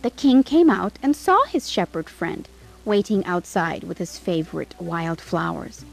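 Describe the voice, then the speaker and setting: A woman narrating a scripted fairytale for children in an expressive, dramatic way. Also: lowish voice, a little slow, vocal fry, soft background music, poor audio. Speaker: storyteller.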